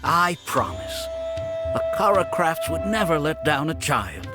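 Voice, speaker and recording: A man's voice: on the high side, sing-song, a little slow, loud music behind the voice. A man playing a friendly, eager-to-please shopkeeper in a video game. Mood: reassuring. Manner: apologetic, reassuring, slightly flustered. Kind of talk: animated video game voice acting.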